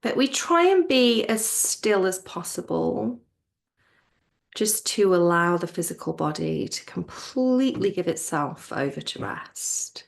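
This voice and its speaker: A woman teaching yoga nidra in a soothing, gentle manner, her soft voice trailing off toward a near-whisper as she guides relaxation. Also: fairly low pitch, creaky. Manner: soothing, gentle, unhurried with pauses.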